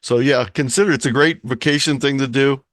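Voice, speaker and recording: A man's voice: mid-range voice, steady tone, brisk, a bit bright, dry recording, clean studio sound. A man from Ohio giving an informal, explanatory presentation over a video call, speaking conversationally. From Ohio, United States. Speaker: presenter of an amateur radio talk. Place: online video meeting.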